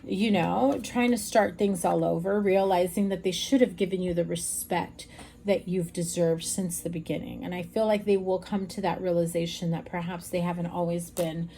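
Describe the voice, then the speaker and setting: A woman with a slightly raspy voice giving a frank, chatty tarot reading straight to her viewers. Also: on the low side, steady tone, normal speed, mellow, slight background noise. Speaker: tarot reader. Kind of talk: casual tarot reading to camera.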